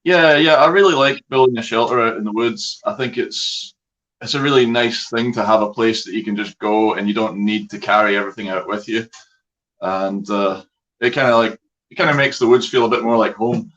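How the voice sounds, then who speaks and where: A man's voice: on the low side, a little fast, a bit bright. A man with a Scottish accent chatting casually and easily as a guest. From Scotland. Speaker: guest, outdoors video creator. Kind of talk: casual chat. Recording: dull, muffled online call audio.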